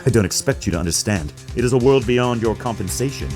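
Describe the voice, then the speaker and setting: A man's voice: medium pitch, lively intonation, fast, a little mellow, faint music behind, natural voice. An actor playing a pompous, menacing corporate boss in a video game, sneering and theatrical as he talks down to people. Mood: smug, menacing. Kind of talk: dramatic video game character acting.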